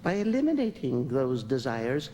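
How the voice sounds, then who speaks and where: A man acting in a radio drama as a serene, haughty alien ruler of the moon, deliberate and imperious, with coolly menacing undertones. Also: mid-range voice, huge pitch swings, mellow, long reverb tail, a little hiss or hum. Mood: serene, superior. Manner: slow, deliberate, imperious, with musing hums and chuckles. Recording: music behind the voice.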